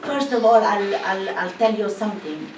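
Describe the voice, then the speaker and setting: A woman's voice: medium pitch, moderate pace. A woman from northern Israel, speaking emphatically and asking rhetorical questions in a public talk. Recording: echoey room, mic at a distance, faint hiss.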